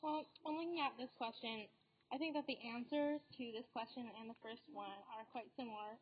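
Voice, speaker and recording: A teenage girl, a student guest on a school podcast, answering thoughtfully and hesitantly with many pauses. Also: on the high side. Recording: dull lo-fi recording.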